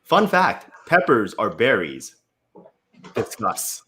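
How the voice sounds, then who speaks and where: An American man hosting a party pitch game on a video call, teasing and mock-stern with his questions. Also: wildly swooping pitch, takes it slowly, sharp tone, a little hiss or hum. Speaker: game host.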